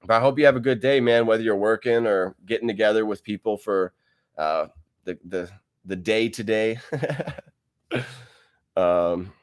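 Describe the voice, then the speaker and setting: Chill dude streaming from home, reading chat, laughs a lot, rambly. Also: male voice, fairly low pitch, a little slow, pauses often. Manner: laid-back, rambling, laughs often. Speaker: livestream host. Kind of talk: casual livestream chat, reading viewer comments. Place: wide room at home. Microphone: close desk mic.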